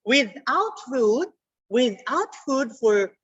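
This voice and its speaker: A woman teaching a young children's science class over a video call, repeating words slowly for the kids. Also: high-pitched, very animated, breathy edge. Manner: slow, repetitive, drawn-out words for children. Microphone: computer mic on a video call. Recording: dull lo-fi video call audio.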